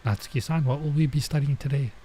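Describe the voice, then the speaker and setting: A man's voice: medium pitch, quick pace, dark tone, lightly acted. A man voicing a small, eager, boastful robot girl from a visual novel. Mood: curious.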